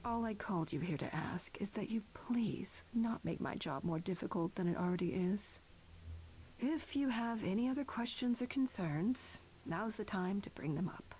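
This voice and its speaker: A woman playing a stern, weary goddess who leads the gods in a video game, speaking in a measured, formal way. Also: mid-range voice, expressive, a little mellow, slight background noise, lightly acted. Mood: grave, restrained.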